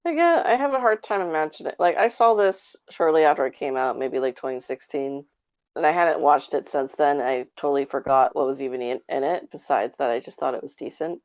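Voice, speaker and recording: A woman with a notably low voice chats casually as a podcast guest. She phrases things haltingly and with some hesitation. The sound is dull and lo-fi. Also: darkish tone. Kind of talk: casual chat. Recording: dull lo-fi call audio.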